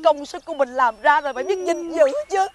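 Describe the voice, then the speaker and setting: Woman playing a high-voiced, meddlesome village neighbour. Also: quick pace, close to an everyday voice. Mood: dramatic scolding. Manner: exclamatory, repetitive, fretful. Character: meddlesome, fussing older-sister neighbour woman, melodramatic. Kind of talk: theatrical folk comedy acting.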